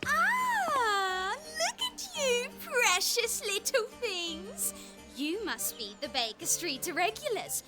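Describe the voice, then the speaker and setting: Eliza, a haughty high-voiced heiress. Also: female voice, sing-song, slow, over music, heavily acted. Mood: cooing. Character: haughty rich heiress woman, prim and fussy. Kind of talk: audio drama acting.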